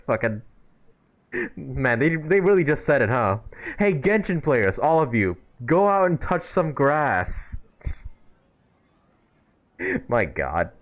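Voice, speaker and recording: A man doing casual live commentary on a video game, sweary and amused, often giggling. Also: medium pitch. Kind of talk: casual livestream gaming commentary.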